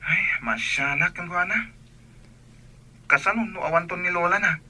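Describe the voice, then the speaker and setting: A man acts out an earnest young Filipino would-be seminarian in a radio play. His voice is high for a man and extremely bright and sharp. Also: normal speed, a little hiss or hum. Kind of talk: radio drama acting.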